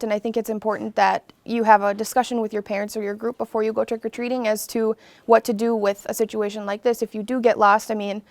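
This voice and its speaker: A woman's voice: mid-range voice, no room sound. A young adult woman, a police officer, answering interview questions casually with fillers.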